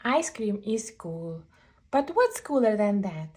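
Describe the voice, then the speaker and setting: A woman reading a picture book aloud for children, expressively, with drawn-out emphasis on phrases. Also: mid-range voice, sing-song, a little mellow, slightly rough. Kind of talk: animated read-aloud picture book narration.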